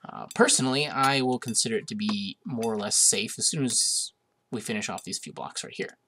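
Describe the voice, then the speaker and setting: A man's voice: fairly low pitch, expressive, brisk, bright, forceful tone. A young man narrates his strategy game in a casual, rambling way, stopping often on "uh" and "um." He is getting over a cold and sounds a little sniffly. A faint hiss and the click of a mouse sit under his voice. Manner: chatty, hesitant with many uhs, amused. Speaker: gaming video host. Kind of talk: casual let's-play commentary.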